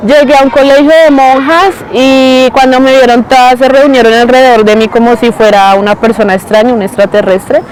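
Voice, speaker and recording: A young woman recalling childhood experiences in a soft, reflective way. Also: high-pitched, steady tone, fairly quick, few pauses, a little hiss or hum, poor audio. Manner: soft, reflective, mimics schoolmates. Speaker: interviewee. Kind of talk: personal testimony.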